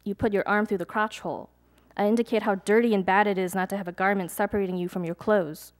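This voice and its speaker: A young adult woman reads her own poems to a live audience, pacing the lines with measured, deliberate care. Between poems she slips into loose, hesitant asides. Her pitch sits in an ordinary middle range. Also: steady tone. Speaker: poet. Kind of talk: poetry reading with casual asides to an audience. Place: live reading event before an audience.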